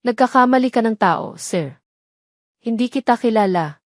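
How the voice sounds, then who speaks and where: A woman's voice: fairly high pitch, animated, moderate pace, no room sound, clean studio sound, lightly acted. A woman narrator voicing a guarded, defiant mother with a cold, firm manner in an audio story. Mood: cold denial.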